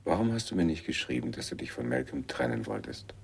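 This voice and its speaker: An actor playing a wounded, lovelorn jazz pianist in a noir radio drama, terse and brooding. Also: male voice, low voice, very animated, quick pace, gravelly, slight background noise, full character voice. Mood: urgent, hurt.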